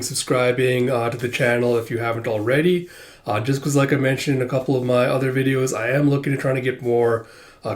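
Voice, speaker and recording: A man with a cold and a sore, stuffy throat recapping a manga chapter casually, halting often with filler sounds. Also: mid-range voice, a little mellow. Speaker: manga reviewer.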